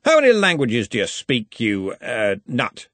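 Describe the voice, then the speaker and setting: A man's voice: mid-range voice, huge pitch swings, unhurried, fairly bright tone, no echo. A man voicing a jovial, tall old university Arch-Chancellor in an audiobook, speaking in hearty, clipped phrases with a faintly threatening cheer. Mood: brisk, hearty.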